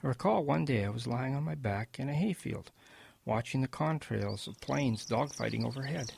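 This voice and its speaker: An actor playing a kindly old grandfather with a creaky, husky voice, telling wartime memories gently and with animation in a radio drama. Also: mid-range voice, expressive, moderate pace, full character voice. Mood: animated reminiscing.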